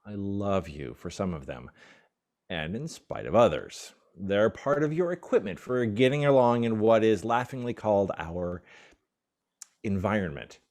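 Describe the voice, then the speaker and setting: Radio play: wry boyfriend, fed up and teasing, very animated intonation. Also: male voice, lowish voice, a little slow. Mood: angry, firm. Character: exasperated, wry boyfriend of a stage star.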